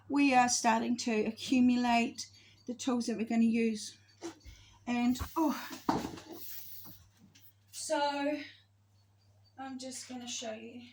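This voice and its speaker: Woman, 50s, high-pitched, casual rambling vlogger who loses the thread. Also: background noise. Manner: rambling, loses her thread and corrects herself. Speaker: astrology vlogger. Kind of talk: casual spoken monologue to camera.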